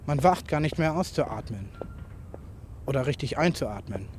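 A man's voice: medium pitch, lively intonation, moderate pace. A man speaking an improvised, introspective monologue into a tape recorder in fragmented observations. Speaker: writer. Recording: noisy home tape recording.

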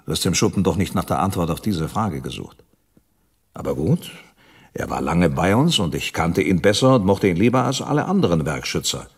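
An actor playing a suave, powerful company boss with a husky voice and a polished, patronizing manner in a radio drama. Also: male voice, low-pitched, sing-song, brisk, full character voice. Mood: smoothly reproachful. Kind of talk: crime radio drama dialogue.

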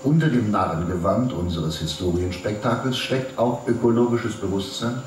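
An actor playing a polished, teasing city official who talks like a politician over a video screen in a radio drama. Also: male voice, lowish voice, moderate pace. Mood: earnest, polished. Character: Nico, smooth, evasive city official charming a friend. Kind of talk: German radio drama acting. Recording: roomy, distant, faintly noisy sound.

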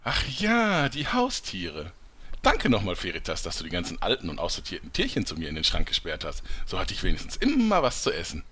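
A man's voice: low voice, huge pitch swings, brisk, pushed and bright, slightly rough, full character voice. An actor playing a bitter, whiny man locked away for years, sarcastic and complaining, in a comedic fantasy audio drama. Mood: bitterly sarcastic.

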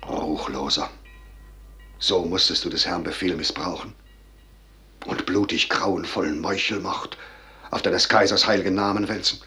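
An actor playing a grave, noble elder general with solemn, weighty declamation in a 1950s German radio drama. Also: male voice, low-pitched, fairly even intonation, moderate pace, pauses often, sharp tone. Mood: outraged indignation.

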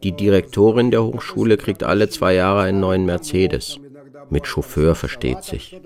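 A man's voice: low voice, normal speed, a little mellow. A man narrating a radio travel documentary from a script in short, laconic observational sentences. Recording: music behind the voice. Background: music.

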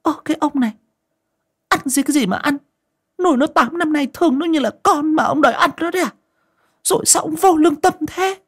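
A man voicing a nagging older wife who scolds her husband in a high voice. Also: a little fast, fairly bright tone. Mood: indignant. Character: shrill nagging older wife, mistress of house.

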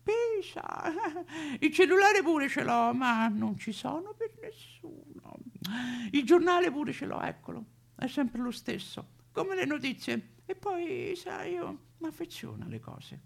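A boozy, lonely vagrant from a satirical stage monologue, speaking with a Roman accent. The voice is slow, high and gravelly. He rambles haltingly between wistful musing and swearing. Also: lively intonation, exaggerated voice. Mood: rambling, tipsy. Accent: Roman Italian. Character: lonely toothless old male drunk vagrant, rambling and wistful. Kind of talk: theatrical comic monologue.

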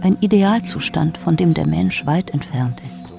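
A man delivering a reflective scripted monologue in a measured, musing way, sometimes dropping almost to a whisper. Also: a little high, expressive, gravelly, music in the background. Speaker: essayistic narrator voice.

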